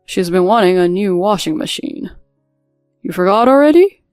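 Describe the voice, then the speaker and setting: A woman's voice: medium pitch, animated, moderate pace, a little mellow, clean tone, dry recording, lightly acted. Woman doing a naggy bossy husband, scolding, story dialogue, dry sound, bit of music behind. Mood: irritated, bossy. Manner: scolding, accusatory. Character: demanding, whiny husband siding with his mother.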